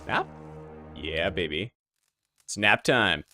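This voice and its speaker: A man's voice: sits low, sing-song, takes it slowly. A man giving informal gameplay commentary as a let's player, with game music behind him. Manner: informal, slangy, thinking aloud. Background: video game music.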